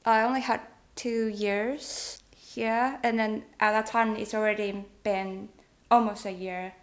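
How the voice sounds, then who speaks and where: A woman's voice: mid-range voice, steady tone, slow pace. A thirty-year-old woman from Taiwan with a Taiwanese accent, a native Mandarin speaker, hosting a casual vlog chat in a giggly, hesitant, conversational way. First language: Mandarin Chinese. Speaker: vlog host.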